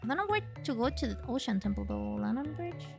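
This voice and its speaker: A woman from Chile chatting casually and excitedly while streaming a game, with the odd swear. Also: on the high side, fast talker, gravelly, over music. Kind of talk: casual gaming livestream chat.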